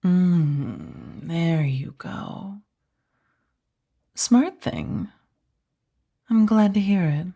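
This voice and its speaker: Woman doing a mafia boss lady asmr roleplay, breathy n husky, real slow, soft spoken but menacing and smug. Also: lowish voice, full character voice. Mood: smug, threatening. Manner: slow, taunting, mock-sweet, dropping into whispers. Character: powerful, menacing, condescending female mafia boss.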